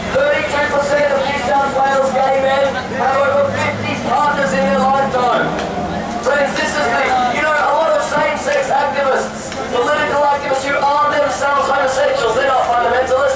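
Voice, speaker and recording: A man preaching emphatically and repetitively through a megaphone to a crowd on a busy city street. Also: very high-pitched. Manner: emphatic, repetitive, declamatory public speaking. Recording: distant, echoing megaphone over noisy street crowd. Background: crowd, traffic and street noise.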